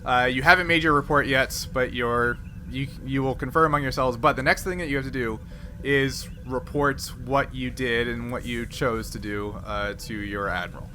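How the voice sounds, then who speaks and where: A man with a bright, forward voice narrates a tabletop role-playing session as game master. He speaks casually and checks in with the players as he goes. There is faint noise behind him. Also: medium pitch, fairly even intonation, normal speed.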